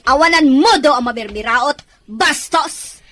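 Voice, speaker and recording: Woman acting a haughty, high-voiced rich madam. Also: animated, a little slow, fairly bright tone, no room sound. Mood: furious, scornful. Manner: scornful, imperious, scolding. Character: haughty, hot-tempered rich mother and madam. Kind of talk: Filipino Ilocano radio-style drama acting.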